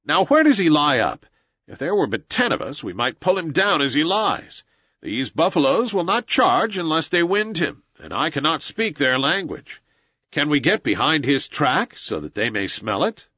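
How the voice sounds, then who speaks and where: A man's voice: a little high, big pitch swings, moderate pace, sharp tone, breathy edge, no room sound, muffled recording, full character voice. Eager jungle boy giving orders, as a male reader puts him on. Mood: scheming. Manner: urgent, commanding, archaic speech.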